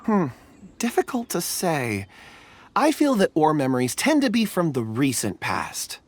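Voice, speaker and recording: A calm, reflective companion. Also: male voice, fairly high pitch, slight background noise, exaggerated voice. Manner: measured, reflective. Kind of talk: video game voice acting.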